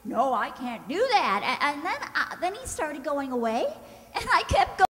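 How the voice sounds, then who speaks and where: A woman playing an excitable, giggly young contestant on an old-time radio show, telling a story in a flustered, stammering way. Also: sits high, normal speed. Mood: breathless recounting. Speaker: stunt contestant. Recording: echoey hall.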